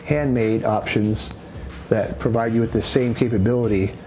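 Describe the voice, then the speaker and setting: A man giving an unscripted product demonstration in a halting, matter-of-fact way, as a presenter explaining equipment. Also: lowish voice, steady tone, darkish tone, a little hiss or hum. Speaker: presenter demonstrating radio gear. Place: indoors in a house.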